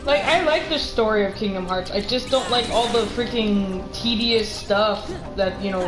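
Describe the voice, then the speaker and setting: A woman's voice: medium pitch, normal speed. A woman co-hosting a game livestream, bantering casually in a teasing, jokey way. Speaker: game stream co-host. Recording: roomy echo, game music behind.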